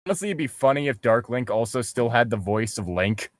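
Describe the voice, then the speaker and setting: A man co-hosting a comedy reading with sarcastic, casual riffs. His mid-pitched voice moves around a lot in mock exasperation, recorded dry. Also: lively intonation, a little fast, dry recording. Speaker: co-host commenting on a reading. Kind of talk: casual comic riffing.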